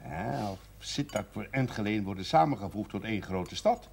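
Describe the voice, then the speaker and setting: A man's voice: fairly low pitch, normal speed, vocal fry. An actor playing a genial, opinionated older man, chatty and hospitable, in a naturalistic television drama. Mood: amused. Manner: animated, chatty. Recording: noisy 1970s television soundtrack.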